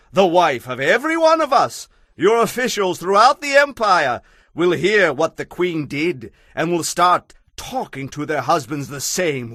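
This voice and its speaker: A man's voice: fairly high pitch, fairly bright tone, no echo, studio quality, full character voice. A man playing an indignant, self-important royal advisor in an audio Bible drama, with oratorical, emphatic delivery.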